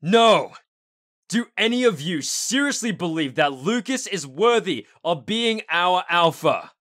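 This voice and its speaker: A man's voice: sits high, animated, unhurried, a bit bright. A man playing a grieving, defiant young werewolf heir in an earnest, intense roleplay drama. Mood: outraged.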